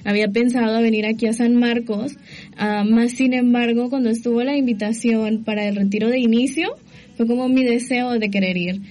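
A young woman from El Salvador, soft-spoken and earnest, telling her story as a guest. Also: on the high side, steady tone, fairly quick, low-quality recording. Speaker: youth group coordinator. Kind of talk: casual interview answers.

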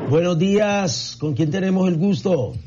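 A man's voice: sits high, measured pace. A man, a priest, taking calls as co-host of a live radio fundraiser. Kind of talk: live on-air talk with callers. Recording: dull lo-fi sound, faint background noise.

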